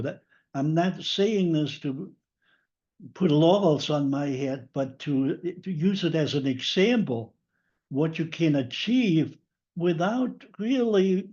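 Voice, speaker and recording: A man speaks slowly and thoughtfully on a video call, his voice a bit high. The call audio is dull and lo-fi. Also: darkish tone. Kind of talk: casual meeting talk.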